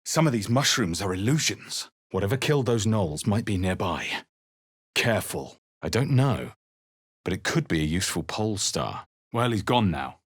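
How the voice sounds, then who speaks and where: Fantasy game hero, husky n kinda low, terse and wary, dramatic delivery, dry close sound. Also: male voice, expressive, normal speed, no room sound. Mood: wary, wry. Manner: terse, theatrical, emphatic on incantations. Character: young-adult male adventurer hero, alert, wry, chanting spells. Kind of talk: video game character barks and spell incantations.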